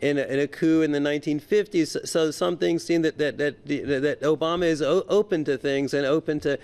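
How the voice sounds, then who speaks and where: A man's voice: on the high side, steady tone, fairly quick, darkish tone, clean tone. A man giving unscripted political commentary as an interview guest, stammering and often repeating his words as he goes.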